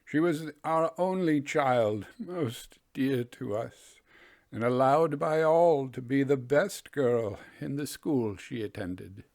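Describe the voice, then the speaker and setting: Solemn bereaved country father from a Victorian ghost tale, played by a male reader, slow, halting, weighed down by grief. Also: mid-range voice, darkish tone, no room sound. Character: solemn, grieving Victorian Welsh cottager mourning his daughter.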